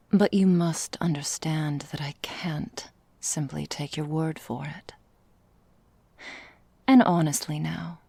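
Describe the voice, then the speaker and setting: A woman playing an ancient, possessive vampire mistress in an ASMR roleplay, speaking in a breathy, husky half-whisper with deliberate, formal phrasing. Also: sits low, dark tone, no room sound, heavily acted. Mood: softly menacing. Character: female vampire mistress, ancient, possessive, seductively menacing, melancholy. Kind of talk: ASMR roleplay, intimate dramatic monologue.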